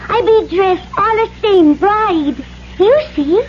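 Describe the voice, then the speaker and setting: Very high-voiced, slow Polynesian island girl. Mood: pleading, proud. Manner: broken English, short simple phrases. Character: young Polynesian island woman, earnest and proud. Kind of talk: radio drama acting. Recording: dull old radio recording, faint noise.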